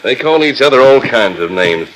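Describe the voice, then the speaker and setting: An actor playing a calm masked western hero, firm, measured and well-spoken, in 1940s radio style. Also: male voice, medium pitch, slight background noise, lightly acted, low-quality recording.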